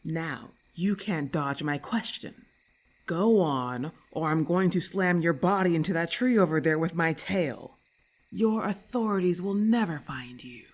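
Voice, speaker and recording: A woman's voice: lowish voice, unhurried. A woman voicing a haughty, irritable lamia in an ASMR roleplay, with hissing, imperious and formal speech, scornful and menacing.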